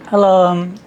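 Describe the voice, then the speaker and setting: A man with a hoarse voice giving a technical presentation at a meetup, talking haltingly with many restarts and repeated words. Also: high-pitched, highs cut off, noisy background.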